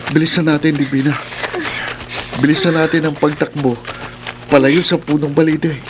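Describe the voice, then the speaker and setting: A man's voice: on the high side, level tone, normal speed, natural voice. A man playing a brave, loyal young rescuer in a horror radio drama, speaking with earnest urgency. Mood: urgent. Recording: noisy ambience over the voice.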